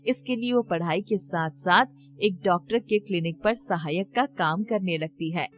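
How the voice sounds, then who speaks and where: A woman's voice: fairly low pitch, normal speed, faint music behind. A woman storyteller reading a scripted children's story in narration style.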